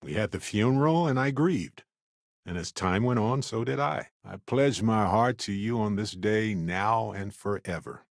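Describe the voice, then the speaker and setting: A man playing a wry, warm-hearted middle-aged space explorer in a video game, conversational with earnest asides. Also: on the low side, a little slow, vocal fry, no room sound, clean studio sound. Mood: wry, casual. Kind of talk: acted video game companion dialogue.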